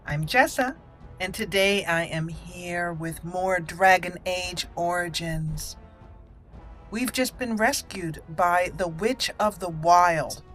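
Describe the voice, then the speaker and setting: A woman's voice: fairly low pitch, takes it slowly, fairly bright tone, faint music behind. A woman hosting a roleplay let's play, chatty and playful, commenting and reading dialogue aloud. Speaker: gaming channel host.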